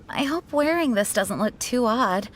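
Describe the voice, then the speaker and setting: A woman's voice: on the high side, slight background noise. A woman voicing a dutiful, protective young female knight in a video game, composed, earnest and slightly formal. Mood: self-conscious. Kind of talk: video game character acting. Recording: music playing behind the voice.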